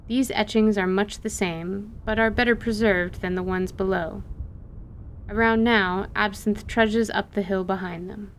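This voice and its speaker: A woman's voice: mid-range voice, steady tone, a little slow, a little hiss or hum. A woman giving scripted, present-tense narration for a fantasy audio drama.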